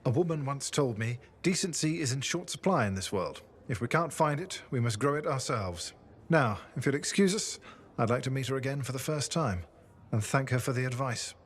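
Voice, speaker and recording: An actor playing a quiet, reflective man with a troubled past in a video game. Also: medium pitch, lively intonation, normal speed, a little hiss or hum, close to an everyday voice. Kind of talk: video game cutscene acting.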